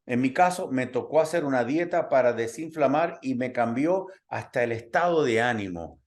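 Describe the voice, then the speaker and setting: A man's voice: mid-range voice, expressive, normal speed. Chatty man hosting a health talk. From Cuba, raised in Puerto Rico. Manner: chatty, many fillers, personal anecdotes. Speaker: host. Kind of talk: conversational interview.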